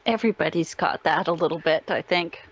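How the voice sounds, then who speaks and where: A woman's voice: sits low, a little fast, husky. A woman giving a casual interview as a guest, cheerful and informal in manner. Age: adult parent of a young child.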